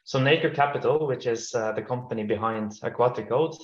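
A man from northern Norway, a startup CEO, answering interview questions in a measured way with frequent restarts over a video call. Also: medium pitch, echoey room, highs cut off. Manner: measured, with frequent repeats and fillers.

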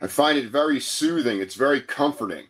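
A man hosting an interview, who also coaches and leads a guided breathing exercise, speaking in a calm, measured, soothing way. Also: mid-range voice. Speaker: host, coach.

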